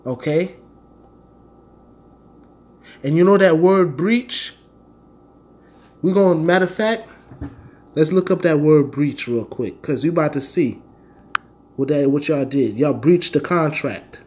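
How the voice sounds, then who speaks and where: A man's voice: on the high side, slow, pauses often, darkish tone, slight background noise. An American man delivering a fervent, informal sermon in a confrontational, slangy manner, repeating phrases for stress. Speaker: street-style preacher and Bible teacher.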